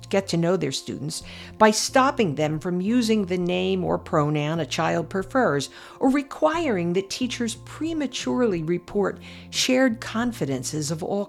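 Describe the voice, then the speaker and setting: An older American woman reading her own essay aloud as a podcast commentator, earnest and measured, pressing hard on her key points. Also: fairly low pitch, creaky.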